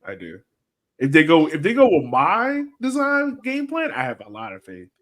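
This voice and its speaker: A man's voice: a little high, huge pitch swings, normal speed, fairly bright tone. A man hosting a casual sports podcast panel, talking in an informal, slangy and playful way. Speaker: podcast host.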